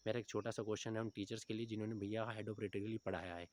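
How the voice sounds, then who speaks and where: A man's voice: fairly low pitch, little pitch movement, very fast, slight background noise. A man of about thirty, giving informal motivational advice to students, often adding check-in tags as he goes. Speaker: exam coaching teacher giving advice to recruitment candidates.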